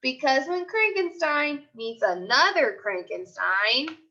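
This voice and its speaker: Lady teacher doing read aloud for little kids, super animated and slow, slightly dull audio. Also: high-pitched. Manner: expressive, animated storytelling delivery with deliberate pauses. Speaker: elementary school teacher. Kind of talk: read-aloud lesson for young children.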